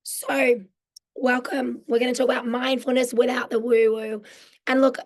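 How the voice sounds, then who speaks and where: A hoarse woman in her forties with an Australian accent, her voice croaky from losing it, explaining mindfulness in an online workshop in a friendly way. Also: on the high side, flat delivery, moderate pace. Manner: friendly, explanatory, with frequent um fillers. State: hoarse, lost voice. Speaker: mental health social worker teaching a mindfulness workshop. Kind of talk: live online workshop presentation. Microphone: video call.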